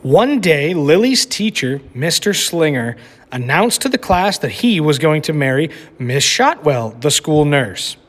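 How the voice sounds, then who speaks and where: A male teacher reading a picture book aloud to children. He takes his time and makes his voice rise and fall with lively expression. A long reverb hangs in the room behind him. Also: a little high. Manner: slow, expressive storytelling.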